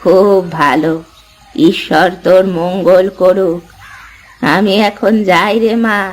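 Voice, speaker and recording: A woman's voice: fairly low pitch, steady tone, takes it slowly. A woman playing a weary, kindly old village woman in a cartoon fairy tale, with a sighing, affectionate manner. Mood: kindly, pleased. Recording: music behind the voice. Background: clear background music.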